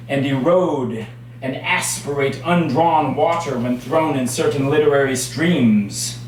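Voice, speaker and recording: A man performing his own poetry at a live reading, with measured, dramatic phrasing and deliberate pauses. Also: on the high side. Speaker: poet reading own work. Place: indoors at a cafe poetry reading. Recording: very echoey room, distant mic, faint noise.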